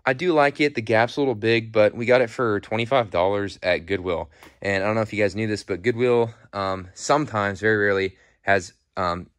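A man's voice: fairly low pitch, steady tone, fairly quick, fairly bright tone, no room sound, clean studio sound. A young American man chatting casually and off the cuff as a fitness vlogger, in a laid-back, rambling, slangy way. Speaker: fitness vlogger giving a home gym tour. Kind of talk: casual off-the-cuff vlog chat. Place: indoor metal-walled garage-shop gym. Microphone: handheld phone held behind the camera view.

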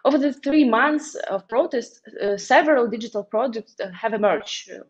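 A woman's voice: a little high, animated, moderate pace. Belarusian-accented woman presenting, muffled call audio. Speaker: activist speaker. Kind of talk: online conference presentation. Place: small indoor room, on a video call.

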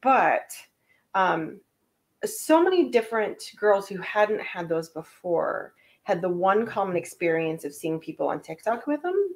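A woman's voice: medium pitch, sing-song, measured pace. A woman talking as a guest on a home panel chat, explaining things thoughtfully with many fillers. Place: living room, indoors.

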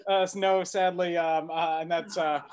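Male, middle-aged, slightly high voice, film director guest recounting his project on a video call. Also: flat delivery, moderate pace, clear, resonant tone. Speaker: documentary director, interview guest. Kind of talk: conversational interview answers, storytelling. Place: indoor room at home, video call.

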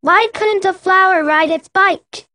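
A woman's voice: very high-pitched, a little slow, pushed and bright, dry recording, full character voice. A woman voicing a silly, playful little male animal in a children's learning game. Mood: joking. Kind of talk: children's educational game acting.

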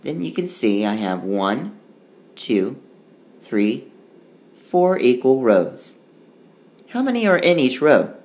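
Slow, sing-song male teacher. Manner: slow, sing-song rhyming read-aloud with lively pitch. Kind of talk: reading a picture book aloud and explaining math. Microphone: tablet screencast voice-over.

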